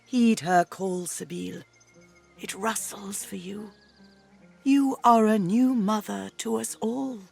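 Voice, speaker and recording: A woman's voice: medium pitch, steady tone, slow, vocal fry, a little mellow, breathy edge, soft background music, heavily acted. A woman playing a reverent, pleading elf in a fantasy game, with formal, earnest, archaic speech. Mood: earnest urging. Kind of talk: fantasy video game acting.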